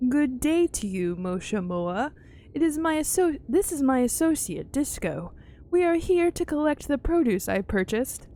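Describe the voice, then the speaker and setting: Woman reading as a formal, deliberate fantasy traveller. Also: high voice, sing-song, dark tone, a little hiss or hum, voice pushed up, heavily acted. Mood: formal, polite. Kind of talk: reading game dialogue aloud in character.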